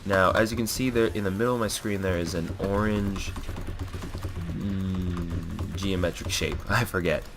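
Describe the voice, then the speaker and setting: A young man with a low, deep voice that sounds stuffy and nasal from a cold. He rambles through casual commentary on his own gameplay, filling the gaps with "uh" and "you know." Faint keyboard tapping sits behind him at the desk. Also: fairly even intonation, a little slow, creaky, a little hiss or hum. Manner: rambling, frequent fillers and self-corrections. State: has a cold.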